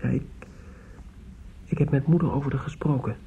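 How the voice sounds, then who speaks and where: An actor playing an earnest, respectful young son in a radio drama, speaking hesitantly and deferentially. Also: male voice, medium pitch, steady tone, moderate pace, lightly acted. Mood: nervous, earnest. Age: young adult. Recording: muffled, noisy old radio play recording.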